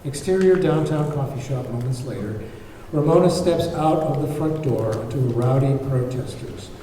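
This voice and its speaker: A man's voice: mid-range voice, normal speed, warm tone, a little hiss or hum. A man reading screenplay stage directions as scripted narration, recorded from a distance in an echoey room. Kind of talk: scripted narration at a table read.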